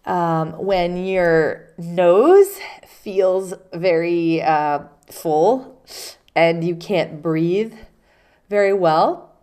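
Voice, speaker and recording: Woman teacher with a cold, nasal and stuffed up, unhurried, lowish voice, patient explainer. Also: a little mellow. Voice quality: congested, nasal. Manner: patient, explains with spoken examples. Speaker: English teacher. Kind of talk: casual vocabulary lesson.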